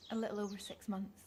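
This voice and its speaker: A woman's voice: medium pitch, noisy background, close to an everyday voice. A woman acting in a naturalistic radio drama as a guarded, frazzled pregnant woman of twenty-five, wry and a little awkward. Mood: awkward, grateful.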